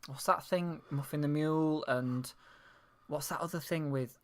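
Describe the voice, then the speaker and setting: A man's voice: fairly high pitch, measured pace. A man in his thirties co-hosting a casual chat, explaining things and teasing his co-host. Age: 30s.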